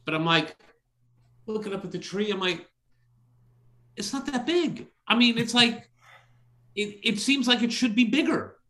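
A middle-aged man with a high, bright, forceful voice tells a casual story on a podcast. He is loud and exclamatory, slipping into quoted dialogue as he recounts what people said. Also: a little slow, pauses often. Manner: exclamatory, acts out dialogue in his stories. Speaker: podcast host. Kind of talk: animated anecdotal storytelling in casual chat.

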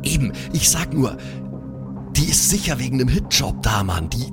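A man playing an excitable, awkward young superhero who curses and stammers in street slang. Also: mid-range voice, normal speed, over loud music. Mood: urgent, agitated. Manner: slangy, cursing, stammering repeats.

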